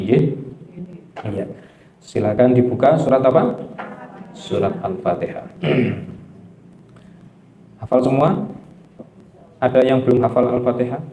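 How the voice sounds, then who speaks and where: Male Quran teacher, mellow voice, echoey room with faint hiss. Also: medium pitch. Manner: conversational, asks the audience questions, frequent throat clearing. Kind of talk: religious study lecture to a congregation.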